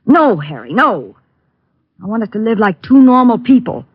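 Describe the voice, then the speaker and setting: Lo-fi old radio drama. a scheming young secretary with a high, husky voice that swings widely as she pushes and coaxes. Her manner is sharp and demanding, and the dry sound comes from a dull, old recording. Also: very animated, normal speed, no room sound. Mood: impatient, demanding. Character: scheming young woman, a secretary plotting murder. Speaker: private secretary. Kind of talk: 1940s radio acting.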